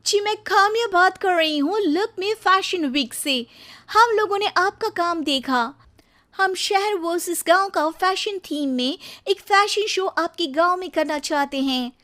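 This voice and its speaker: A woman acts a courteous professional caller in a cartoon. Her voice is very high. She speaks formally and politely, like someone calling from an office. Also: measured pace, bright, forceful tone, exaggerated voice. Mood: businesslike.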